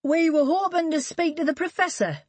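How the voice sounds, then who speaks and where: A woman voicing a brisk, practical male detective who speaks matter-of-factly. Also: extremely high pitch, dry recording, pitched above the performer's own voice.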